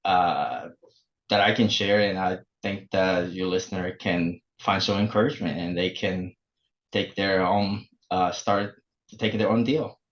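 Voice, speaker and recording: Guest: forties man, lower voice, halting delivery, muffled lo-fi line. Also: fairly even intonation. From El Salvador. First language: Spanish. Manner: halting, many fillers and restarts. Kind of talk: casual interview. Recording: muffled lo-fi remote audio.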